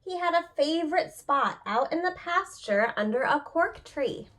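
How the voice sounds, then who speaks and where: Female preschool story reader: high register, very lively intonation, expressive delivery aimed straight at little kids. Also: measured pace. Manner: expressive, talks directly to young listeners with questions. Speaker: preschool teacher reading aloud. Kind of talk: animated picture-book read-aloud for young children.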